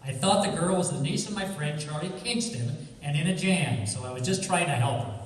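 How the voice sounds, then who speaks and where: A man playing a cocky, wisecracking amateur sleuth, breezy even when apologizing, in an old-time radio detective drama. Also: fairly high pitch, fairly quick, natural voice. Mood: earnest explaining. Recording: echoey hall, distant, faint noise.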